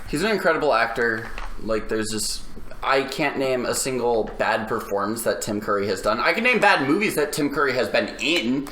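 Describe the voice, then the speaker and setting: Bright-voiced, lively man in an echoey room. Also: mid-range voice, normal speed. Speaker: podcast panelist. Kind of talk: casual opinionated chat. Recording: echoey room with background noise.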